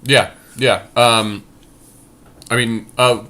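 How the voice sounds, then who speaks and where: A man's voice: mid-range voice, steady tone, extremely slow, bright, forceful tone. A man co-hosting casual gameplay commentary, thinking aloud and explaining his choices as he goes. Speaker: podcast co-host and card game player.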